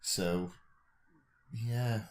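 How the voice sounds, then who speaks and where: A man with a deep, low-pitched voice talks as a podcast co-host. He works through ideas aloud, often questioning himself as he goes. The style is casual and conversational. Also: very slow. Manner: tentative, reasoning aloud, self-questioning. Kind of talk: casual speculative discussion.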